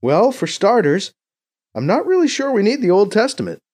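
A man's voice: sits high, big pitch swings, moderate pace, a little mellow, pitched above the performer's own voice. A man voicing an impatient, irreverent former pope in an audiobook, with a sarcastic, exclamatory manner. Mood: dismissive.

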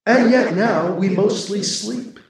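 A man voicing an ancient alien collective that speaks slowly and solemnly in another's mind. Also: sits high, vocal fry, heavy reverb, recorded from a distance, a crowd in the background, pitched above the performer's own voice, heavily acted. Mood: nostalgic. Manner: slow, solemn.

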